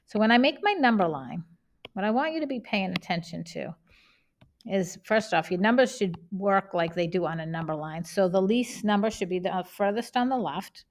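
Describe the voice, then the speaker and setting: Boston lady walking thru math steps, mid pitch, plain and patient. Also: lively intonation, moderate pace. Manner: plain step-by-step explaining, frequent checks with okay. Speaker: math teacher. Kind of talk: whiteboard math lesson.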